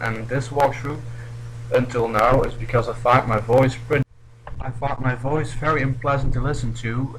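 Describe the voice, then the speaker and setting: Young man from Belgium, non-native English, hesitant gameplay commentary, mid-pitched voice, faint hum behind. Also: rough recording. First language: not English. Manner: hesitant, restarts often. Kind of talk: casual let's play commentary.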